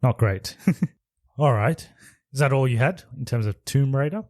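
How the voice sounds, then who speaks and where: Male podcast co-host, medium-pitched, dry room-free sound, informal inquisitive movie chat. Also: lively intonation, unhurried, darkish tone, no room sound. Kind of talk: casual movie review chat.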